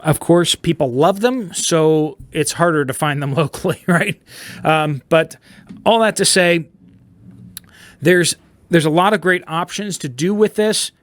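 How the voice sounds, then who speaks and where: A man's voice: on the high side, no echo. A man hosting a casual product review, explaining gear to viewers in plain, informal layman's terms. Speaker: host of a prepper radio review channel.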